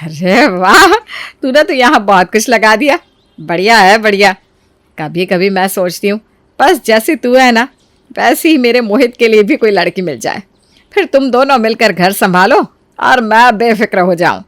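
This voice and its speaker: A woman's voice: medium pitch, animated, moderate pace, a bit bright, dry recording, clean studio sound, lightly acted. A woman voicing an elderly, fond and plain-spoken mother in acted story dialogue. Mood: pleased, fond.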